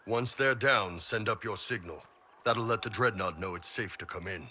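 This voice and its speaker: Deep husky giant mercenary captain. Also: male voice, moderate pace, pushed and bright. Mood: commanding. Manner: blunt, folksy, commanding. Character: gruff, easygoing horned giant mercenary captain. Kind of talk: fantasy video game acting.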